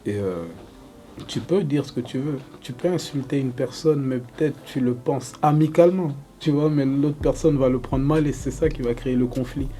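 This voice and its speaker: Relaxed young man, mellow voice. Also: mid-range voice, normal speed. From Congo. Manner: relaxed, loose, many fillers and "tu vois". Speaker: rapper interviewee. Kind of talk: casual interview answers.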